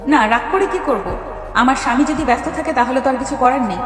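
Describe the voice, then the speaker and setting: A woman's voice: sits high, fast talker, natural voice. A woman playing an affectionate, slightly sulky young wife in an audio story drama, coaxing and plaintive. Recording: echoey reverberant hall, faint music underneath.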